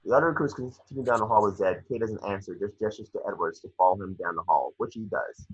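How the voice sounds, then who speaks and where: Low, husky man reading screenplay directions. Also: quick pace, lo-fi sound. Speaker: reader of action descriptions.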